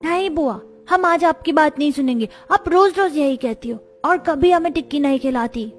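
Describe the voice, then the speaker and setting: A high, whiny little child from a kids' cartoon, played by a woman, hungry and pleading. The voice sits well up in pitch. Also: normal speed, faint music behind, lightly acted. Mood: sulky. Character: hungry, pleading young child begging for food.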